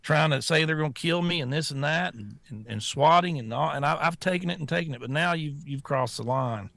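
A man's voice: fairly high pitch, brisk, vocal fry, fairly bright tone, no echo. A man co-hosting a political talk show, talking in a fired-up, stammering way with many restarts. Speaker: co-host.

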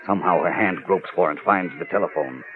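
Deep-voiced vintage radio serial narrator played by a man. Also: brisk, natural voice. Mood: suspenseful. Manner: dramatic, theatrical. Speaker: announcer and narrator. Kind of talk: 1940s radio drama narration. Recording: dull old radio recording. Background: dramatic music.

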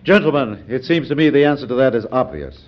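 A self-satisfied American senator acted by a man, with a medium-pitched voice and a folksy, assured way of talking. He sounds like a schemer in an old radio play, on a muffled recording. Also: lively intonation, fast, lightly acted. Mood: confident, scheming. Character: scheming, confident, folksy United States senator. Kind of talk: classic American radio drama acting.

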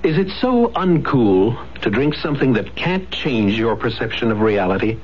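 A man's voice: mid-range voice, very animated, moderate pace. A man reading a scripted radio public service announcement in a questioning, rhetorical manner. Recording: muffled, noisy 1970s radio broadcast.

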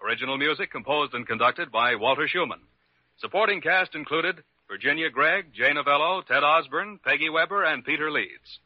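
An American man reading a scripted announcement in formal, measured 1940s radio style. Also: fairly high pitch, animated, dry recording. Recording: muffled old radio, music behind.

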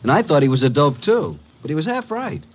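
An actor playing an easygoing, breezy detective's assistant in a vintage radio drama, talking informally. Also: male voice, fairly high pitch, big pitch swings, fairly quick. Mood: impressed.

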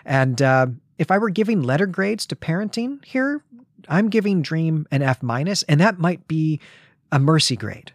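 A man's voice: fairly high pitch, animated, moderate pace, no echo, studio quality. A man co-hosting a conversational literary discussion, giving wry and opinionated commentary. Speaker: podcast co-host.

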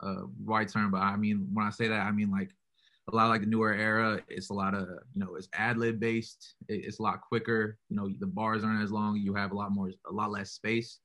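A young man with a deep, bright-edged voice answers interview questions about his music. He talks quickly and casually, with little rise and fall, and fills every sentence with "like" and "you know". He sounds relaxed and laid back. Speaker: rapper being interviewed.

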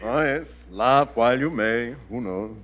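A man's voice: mid-range voice, measured pace, very noisy, close to an everyday voice. A man playing a pompous, cowardly freeloading uncle in an old radio sitcom, with florid, theatrical diction. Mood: gloomy, grandiose. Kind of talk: 1940s radio sitcom acting. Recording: muffled old radio recording.

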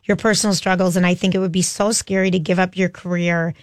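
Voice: medium pitch, flat delivery, no echo. Encouraging host, dry close sound. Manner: encouraging, quick affirmations. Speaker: radio interviewer host. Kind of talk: interview.

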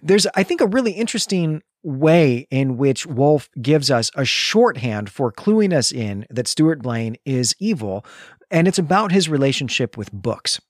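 A man's voice: medium pitch, big pitch swings, unhurried, dry recording, studio quality. A man hosting a podcast, talking through a literary analysis in an emphatic, explanatory way. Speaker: podcast host. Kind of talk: literary discussion.

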